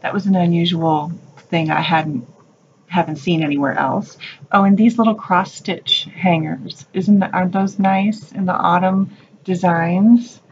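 A woman's voice: fairly low pitch, unhurried, darkish tone, lo-fi sound, slight background noise. A woman narrating a casual shop-with-me video in a craft store, gushing and chatty about what she finds. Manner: gushing, chatty, calls things cute. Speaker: shopping vlogger. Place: craft store aisles, indoors.

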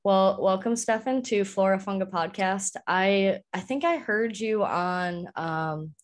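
Young woman host on a boom mic. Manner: friendly, stumbling starts, quick backchannels. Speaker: podcast host. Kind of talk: casual interview. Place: small indoor room full of plants. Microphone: studio mic on boom arm.

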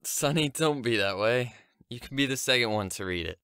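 An actor playing a troubled, gentle young man who speaks in a subdued, guarded way in an audio drama. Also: mid-range voice, animated, normal speed, smooth, dry recording, clean studio sound. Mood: evasive. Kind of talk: naturalistic audio drama dialogue.

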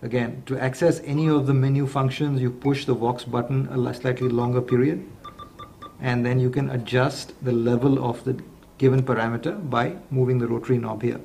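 A man giving a step-by-step instructional product demonstration in a measured, methodical way. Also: mid-range voice, steady tone, creaky, warm tone.

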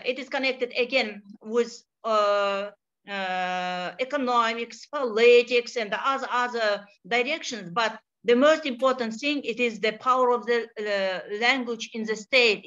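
Slow, hesitant Kazakh-accented woman. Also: a little high, fairly bright tone. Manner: slow, hesitant, many pauses and fillers. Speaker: panel speaker. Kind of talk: prepared remarks in a video call. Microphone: video call. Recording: dull lo-fi video call audio.

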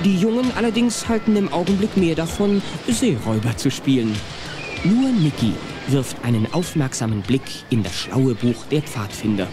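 A man's voice: on the high side, very animated, normal speed. A man narrating a scripted children's radio play as a storyteller. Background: music.

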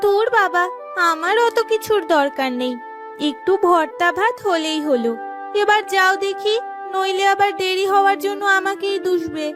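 A woman playing a caring farmer's wife in a village cartoon, homely and gently scolding. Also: extremely high pitch, moderate pace, music in the background. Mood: teasing. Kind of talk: cartoon story dialogue.

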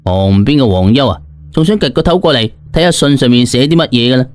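A man's voice: medium pitch, expressive, fast talker, dry recording. A man narrating a story as an audiobook storyteller in colloquial, slangy first person, dramatizing it expressively. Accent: Cantonese. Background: background music.

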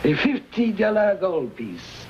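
A gentle old frontier priest, speaks with formal care and widely swinging pitch. He sounds like a wise counselor in a 1950s western. The soundtrack is dull, with clear background noise. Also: sing-song, slow, exaggerated voice. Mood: kindly. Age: older. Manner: formal, solicitous. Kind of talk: 1950s western screen acting. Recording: muffled, noisy old film soundtrack.

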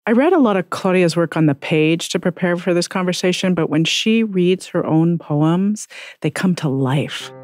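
A podcast host introducing a guest and asking gentle questions, answering with soft affirming murmurs. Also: mid-range voice, normal speed, no echo. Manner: gentle and affirming, many short murmured replies. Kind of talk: podcast interview.

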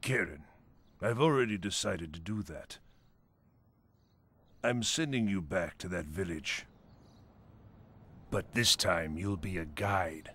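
An actor playing a stern, commanding elderly general in a video game, gravelly, husky, measured and authoritative. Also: male voice, deep voice, pushed and bright, heavily acted. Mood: stern, resolute. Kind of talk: video game cutscene acting.

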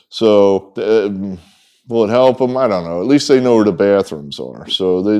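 A man's voice: on the low side, darkish tone, clean tone. A middle-aged man hosting a sports betting picks video, talking casually and rambling to the camera with lots of ums and asides. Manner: rambling, folksy, frequent ums and trailing asides. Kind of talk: casual off-the-cuff talk to camera. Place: indoor room with wood-paneled walls, in front of a whiteboard.

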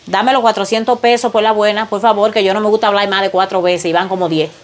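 A middle-aged woman acting as a stubborn, greedy neighbor who flatly insists on being paid. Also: mid-range voice, fast, a little hiss or hum, natural voice. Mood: demanding. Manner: blunt, insistent, unbending. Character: greedy stubborn female neighbor demanding payment.

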